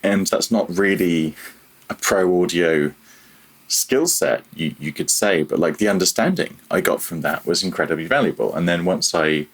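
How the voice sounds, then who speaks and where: A man's voice: low-pitched, moderate pace, breathy edge, low-quality recording. A man talking casually as an interview guest, reflective and self-deprecating about himself. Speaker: podcast guest, freelance audio programmer.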